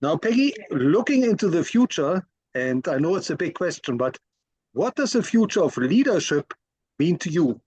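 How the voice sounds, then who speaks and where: A man's voice: fairly high pitch, very animated, moderate pace, a little mellow, slightly rough, dry recording. A man hosting an interview, asking questions in a polite, friendly way. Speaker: interview host.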